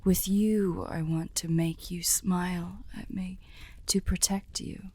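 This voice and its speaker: A woman voicing a courtly, tender female demon weary of evil, speaking softly and formally, half whispering, in an ASMR roleplay. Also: lowish voice, steady tone. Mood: wistful, tender. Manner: slow, soft, breathy half-whispered formal speech.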